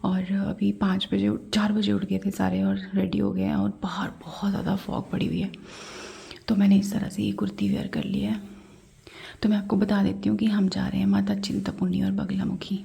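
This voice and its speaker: A woman's voice: mid-range voice, steady tone, moderate pace, creaky, dark tone. A woman vlogger whispering to the camera early in the morning before setting out. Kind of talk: whispered vlog greeting.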